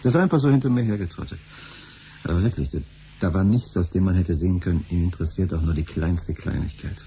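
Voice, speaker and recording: Deep-voiced, exasperated scheming father. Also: fast, mellow, a little hiss or hum. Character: scheming, impatient, exasperated middle-aged father in debt. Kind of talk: 1970s German science-fiction radio play acting.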